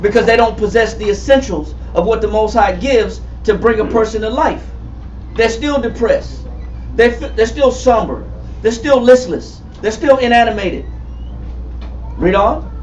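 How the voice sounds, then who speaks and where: A man in his forties teaching a Bible study, emphatic and repetitive, calling on readers and building to shouts. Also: high voice, measured pace, a little hiss or hum. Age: 40s. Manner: emphatic, repetitive, calls for readings, rising to shouts. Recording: far-off echoey room, muffled and lo-fi.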